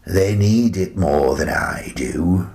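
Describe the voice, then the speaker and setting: An actor playing a gentle, wistful, eccentric old British grandfather in a radio play monologue, rambling reflectively with hesitant pauses. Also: deep voice, lively intonation, heavily acted. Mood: tender. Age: older.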